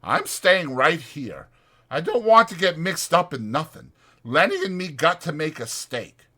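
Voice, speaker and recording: A man's voice: fairly high pitch, big pitch swings, measured pace, pushed and bright, voice pushed up, exaggerated voice. A man voicing a plain-spoken, wary itinerant ranch worker in an audiobook, with guarded, ungrammatical slang.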